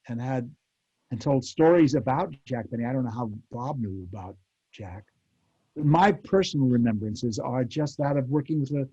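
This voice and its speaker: A man's voice: mid-range voice, warm tone, husky. An older man reminiscing as a panel guest, hesitant and often restarting his sentences. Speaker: panel guest, former radio actor. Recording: dull, muffled lo-fi audio.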